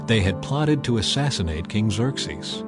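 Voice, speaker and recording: A man's voice: on the low side, expressive, measured pace. A man narrating a dramatized scripture audiobook in an emphatic, theatrical storytelling manner. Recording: music bed behind the voice.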